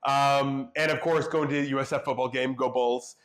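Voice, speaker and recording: A young man speaks as an interview guest, loose and rambling, his words padded with frequent ums. His voice sits at an ordinary middle pitch for a man. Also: fairly even intonation, normal speed, fairly bright tone. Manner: easygoing, rambling, many filler ums. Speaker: state senate candidate and schoolteacher. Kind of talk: casual interview chat.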